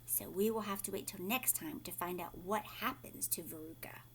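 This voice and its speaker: A woman's voice: on the low side, moderate pace, a bit bright, slight background noise. A woman teacher reading a storybook aloud expressively and explaining it simply to children, often with questions.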